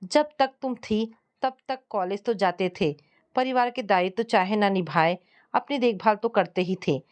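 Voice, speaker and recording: A woman's voice: mid-range voice, moderate pace, smooth, close to an everyday voice. A woman narrator voicing a frank, concerned younger woman in a family story, admonishing and earnest. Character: concerned sister-in-law, frank and sorrowful, adult woman.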